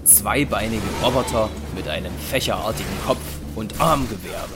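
A man narrating a science fiction radio drama in a measured, solemn, scripted style. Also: lowish voice. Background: music.

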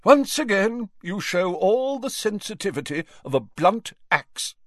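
Guy doing a stuffy self-important ghost gentleman, high swoopy voice, formal, audiobook style. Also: big pitch swings, unhurried, no room sound, clean studio sound. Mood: affronted. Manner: pompous, formal, emphatic. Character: pompous, easily affronted old male ghost, formal and self-important. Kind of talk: audiobook character voice.

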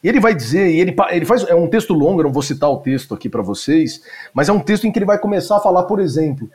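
A man's voice: fairly high pitch, lively intonation, racing, a little mellow. A male teacher giving a lecture, digressing with frequent asides and fillers as he talks. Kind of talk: lecture discussion.

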